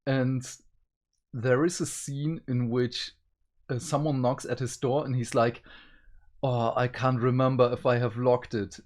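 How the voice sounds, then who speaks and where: A man's voice: mid-range voice, flat delivery, measured pace, a little mellow. A man hosting a casual review discussion, talking in a conversational way. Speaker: review show host.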